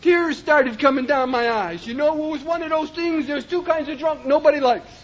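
Impassioned high-voiced man, lo-fi tape. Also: normal speed. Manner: impassioned, often shouting, rhythmic repetition. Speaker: recovery meeting speaker. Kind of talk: personal testimony speech. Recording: dull lo-fi recording.

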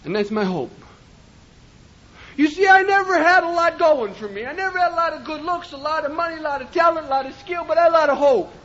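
A man giving a personal testimony at a recovery meeting, impassioned and often shouting, with rhythmic repetition. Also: very high voice, lively intonation, fast talker. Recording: dull lo-fi recording.

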